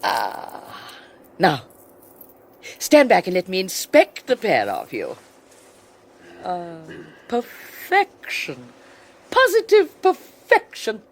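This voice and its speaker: A woman's voice: mid-range voice, huge pitch swings, slow pace, pauses often, slight background noise, full character voice. An actress playing a domineering wealthy wife who teases and controls her husband, silky and condescending with small laughs, in a radio drama. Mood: gloating. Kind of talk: 1980s radio drama acting.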